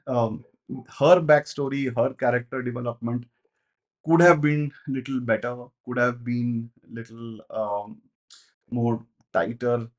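A man, reviewing a film in casual, animated talk, with many fillers and restarts, in a small home studio. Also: mid-range voice. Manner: animated, gestures a lot, thinks aloud with many fillers and restarts. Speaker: movie reviewer.

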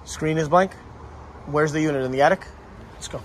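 A man working as an HVAC technician on a service call, talking practically and giving quick instructions to a helper. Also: a little high, slight background noise. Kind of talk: on-the-job service call vlog. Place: house and yard, indoors and outdoors.

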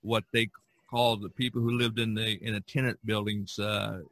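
Man, podcast host, bright forceful tone, halting repetitive phrasing, casual chat, dry sound. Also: fairly low pitch, flat delivery, no room sound. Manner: halting, repeats words. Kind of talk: casual discussion.

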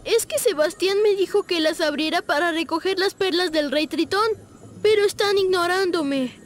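A sweet, timid little cartoon fish acted by a woman with a boyish, extremely high voice. He speaks in an earnest, hesitant way, as a young character in an animated game. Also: moderate pace, a little hiss or hum. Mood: eager, grateful. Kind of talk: animated video game voice acting.

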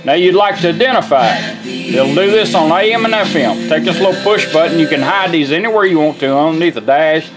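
A man's voice: on the high side, fairly quick, loud music behind the voice. A plainspoken, folksy man giving a casual hands-on product demonstration at a workshop bench. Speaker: product demonstrator, radio restorer.

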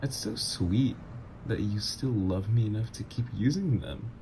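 A man's voice: fairly low pitch, measured pace, mellow, a little hiss or hum, rough recording. A man voicing a teasing supervillain ex. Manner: teasing, playful, flirtatious. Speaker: voice actor. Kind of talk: ASMR roleplay audio.

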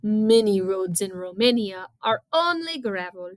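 An eerie storybook woman, acted out by a female reader, speaks with calm command. Her words come slowly and deliberately, in a close, dry sound. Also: on the high side, dry recording, close to an everyday voice. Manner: slow, deliberate.

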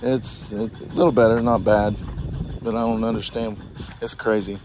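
Hoarse man vlogging outdoors on a phone. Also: on the low side, flat delivery. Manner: talks to camera while walking, halting with many fillers. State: hoarse, losing his voice. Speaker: homesteading vlogger and event emcee. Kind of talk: casual vlog walk-through. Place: outdoors at a fairground expo. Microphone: phone at arm's length. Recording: noisy outdoor phone recording.

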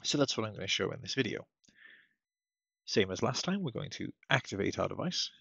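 A man giving a casual step-by-step software tutorial as a technical support presenter, recorded as a voice-over at his computer. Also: fairly low pitch, very animated, brisk, creaky, slightly rough, no room sound. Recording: dull lo-fi close dry microphone.

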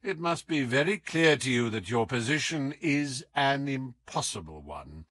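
A man voicing a suave, affable gentleman detective with a polite, teasing manner in an audiobook. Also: mid-range voice, lively intonation, a little slow, no room sound. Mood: amused, composed.

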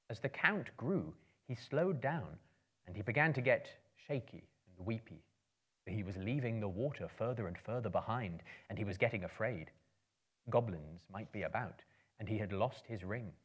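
A man in his thirties giving a theatrical, suspenseful narration of a storybook in measured phrases. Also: fairly low pitch, breathy edge. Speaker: narrator, dramatic reader. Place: indoor book-lined room, live audience.

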